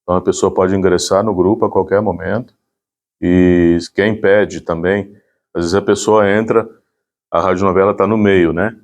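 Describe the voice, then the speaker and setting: A man's voice: low voice, fairly even intonation, normal speed, a little mellow. A man gives an informal explanation. He speaks as a volunteer presenting community work.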